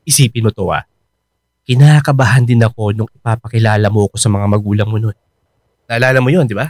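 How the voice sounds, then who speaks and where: A man playing an earnest grown son and reassuring boyfriend in a Filipino radio drama, expressive and quick to protest. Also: lowish voice, no room sound, natural voice.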